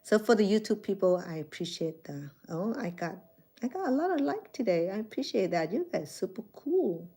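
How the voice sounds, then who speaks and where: A woman's voice: mid-range voice, lively intonation, moderate pace, mellow. A woman, a Khmer speaker chatting casually with viewers on a live stream from her phone, rambling and correcting herself as she goes. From Cambodia. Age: 60s.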